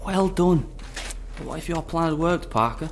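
An actor playing a scornful, domineering antagonist in a dystopian audio drama, confrontational and condescending. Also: male voice, on the high side, animated, normal speed, a little hiss or hum.

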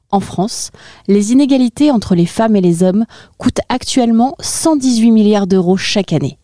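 A woman hosting a podcast, reading a clear introduction and asking interview questions. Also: medium pitch, warm tone, no echo, clean studio sound. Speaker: podcast host.

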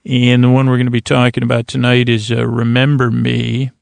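Rambling man with a creaky voice. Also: mid-range voice, monotone, no room sound. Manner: halting, meandering, self-deprecating, repeats and restarts phrases. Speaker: podcast host. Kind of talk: rambling bedtime monologue, meant to lull.

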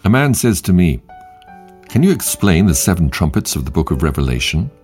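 A man hosting a devotional show, reading short quotes in a measured, emphatic way with long pauses. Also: deep voice, very animated, darkish tone, faint music behind. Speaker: podcast host and devotional reader.

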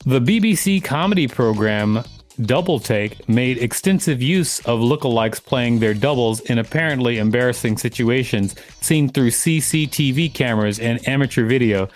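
A man co-hosting a podcast, reading articles aloud and breaking into casual banter. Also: mid-range voice. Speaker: podcast co-host.

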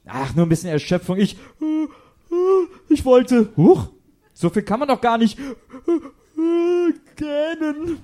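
Man acting a boy detective, high voice, very animated, cocky, huffy, comic radio play. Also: heavily acted. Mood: drowsy. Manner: excitable, cocky, self-talking. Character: know-it-all junior detective boy, defiant and huffy.

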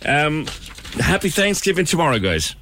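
A man's voice: a little high, sing-song, normal speed, fairly bright tone, slight background noise. A man hosting a radio show, chatting and joking with his guests in a casual interview.

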